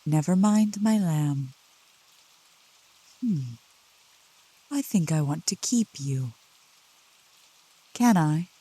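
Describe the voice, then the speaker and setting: A woman acts out a doting demoness of the woods in an ASMR roleplay. Her voice is soft, low and dark, very close and dry. She speaks slowly and formally, soothing and teasing with a possessive tenderness. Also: expressive, husky, no room sound, heavily acted, poor audio. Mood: tender, possessive. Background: rain.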